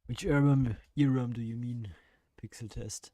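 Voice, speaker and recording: A man streaming his game development, hoarse with a worn-out voice, thinking aloud, answering chat and laughing at himself. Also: medium pitch, steady tone, unhurried, dark tone, no room sound, studio quality. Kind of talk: casual live-stream chat while coding.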